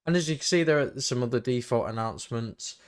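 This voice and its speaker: A man giving a casual, hesitant software walkthrough, thinking aloud as he goes. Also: medium pitch, quick pace, clear, resonant tone. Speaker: tutorial host. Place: indoors, voice-over for screen recording.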